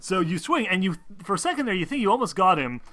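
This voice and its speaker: A man's voice: high voice, big pitch swings, fast talker, sharp tone. A man acting as game master, narrating a tabletop game off the cuff in an informal, hedging way.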